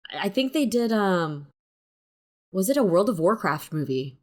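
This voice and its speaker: A woman's voice: medium pitch, very animated, moderate pace, darkish tone. A woman co-hosting a casual chat, speaking informally and loosely. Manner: informal, peppered with "like". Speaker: co-host.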